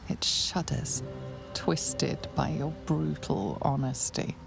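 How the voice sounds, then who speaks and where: A woman narrating a game in a hushed, ominous, scripted style. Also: low voice, steady tone, slow, gravelly, over music, heavily acted.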